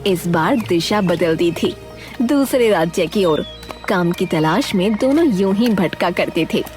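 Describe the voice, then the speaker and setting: A woman delivering dramatic scripted narration for an animated story. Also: lowish voice, measured pace. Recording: loud background music.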